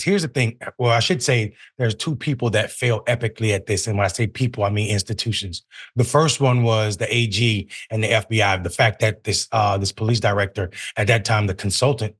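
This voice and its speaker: Lower-pitched man giving political commentary. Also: fairly even intonation, brisk, fairly bright tone. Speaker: news show commentator, mayor.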